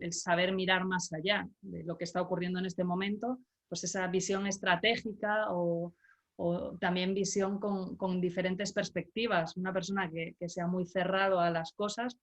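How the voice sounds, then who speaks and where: A middle-aged woman from Galicia, a leadership coach, answering interview questions over a video call in a measured, explanatory way with small restarts. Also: mid-range voice. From Galicia, Spain.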